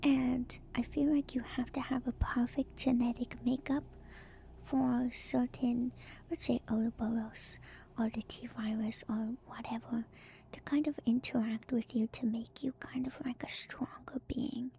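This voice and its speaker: A woman streamer whispering softly and breathily in casual ASMR commentary, musing and trailing off between phrases. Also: fairly high pitch, level tone, a little slow, gravelly, slight background noise. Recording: music playing behind the voice. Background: music.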